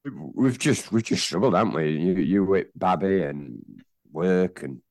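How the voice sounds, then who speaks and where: A hoarse man, co-hosting a casual football podcast with dry, teasing banter. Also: deep voice, moderate pace, darkish tone, dry recording. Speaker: podcast co-host. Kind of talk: casual chat.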